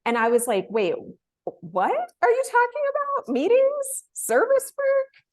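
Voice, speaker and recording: A woman in her thirties, a guest in an interview, telling her story in a casual, animated way, laughing often and acting out the voices of people she quotes. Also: very high-pitched, measured pace. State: emotional, close to tears. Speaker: interview guest, fellowship winner.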